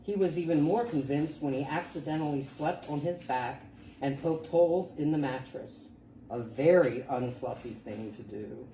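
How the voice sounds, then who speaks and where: A woman reading a children's picture book aloud as a storytime reader, expressive and deliberate. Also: sits low. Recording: roomy, distant mic, faint background noise.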